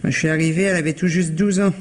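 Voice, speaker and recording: A woman's voice: lowish voice, fairly even intonation, racing, slight background noise, natural voice. A woman acting as a curt, grudging housekeeper in a French radio mystery, brusque and colloquial. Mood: grudging, gossipy. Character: gruff, curt housekeeper, grudging and plain-spoken.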